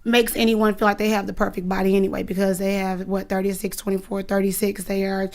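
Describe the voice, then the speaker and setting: A woman's voice: mid-range voice, flat delivery, quick pace. A woman in her thirties, a dance coach, answering interview questions in an earnest, emphatic and protective way. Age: 30s.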